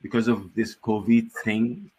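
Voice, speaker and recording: A man's voice: lowish voice, little pitch movement, unhurried, a little mellow, slightly rough, rough recording. A man chairing an academic conference session on a video call, chatting casually with many fillers and restarts.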